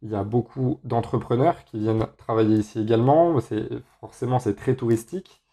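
A young man from France hosting a casual solo podcast, talking in a relaxed way with lots of hesitations. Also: medium pitch, fairly quick. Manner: relaxed, many filler hesitations. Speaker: podcast host.

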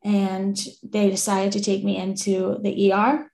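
Guest, woman in her 20s, from Croatia; even, matter-of-fact storyteller, recorded in an echoey room. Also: mid-range voice, a little slow. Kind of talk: casual interview talk.

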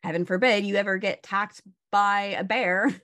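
A woman's voice: medium pitch, fairly even intonation, moderate pace, fairly bright tone. A woman burnout coach, a guest on a video call, explaining stress in a casual, instructive way with many "you know"s and "right?"s.

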